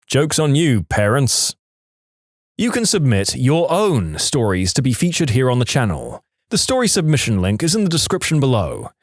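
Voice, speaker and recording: A man's voice: mid-range voice, darkish tone, no echo, clean studio sound. A man narrating listener stories for a channel in a punchy, upbeat scripted read.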